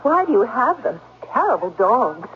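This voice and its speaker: An actress playing an anxious, lonely young heroine, hesitant and polite, in a 1970s Gothic radio drama. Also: female voice, on the high side, very animated, normal speed, a little hiss or hum. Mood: nervous, polite. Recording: muffled old radio recording.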